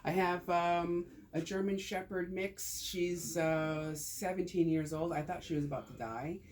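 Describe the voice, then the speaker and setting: A man's voice: high-pitched, fairly even intonation, measured pace, a little hiss or hum. A man talking casually to a small group, then presenting on taxes with jokes and rhetorical questions. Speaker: tax advisor giving a talk.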